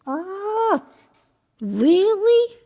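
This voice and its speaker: An actress playing a wry, wistful married woman in an audio drama, chatty and hesitant, with a creaky voice. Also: extremely high pitch, sing-song, very slow, warm tone, dull audio, exaggerated voice. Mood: curious. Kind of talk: naturalistic audio drama acting.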